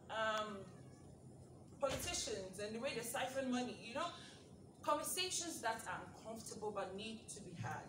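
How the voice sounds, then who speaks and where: A woman from Ghana in her thirties, a TV show host giving an animated speech to an audience, with rhetorical questions and laughing asides. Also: on the high side. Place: large indoor event space. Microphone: handheld mic, recorded from far in the audience. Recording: distant echoey room recording with ambient noise. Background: crowd ambience.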